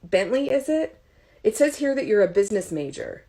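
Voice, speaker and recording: A woman's voice: medium pitch, expressive, normal speed, mellow, voice pushed up, close to an everyday voice. A woman voicing a stern, exasperated female college professor with a firm, controlled manner in an audiobook. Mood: strained patience.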